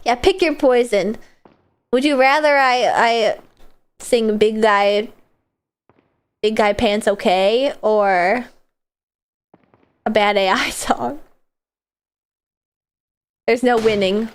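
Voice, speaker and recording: A woman's voice: fairly high pitch, slow pace, pauses often, clear, resonant tone. A young woman streaming a game while sick, chatting in a playful, giggly, offhand way. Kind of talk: casual gameplay chat.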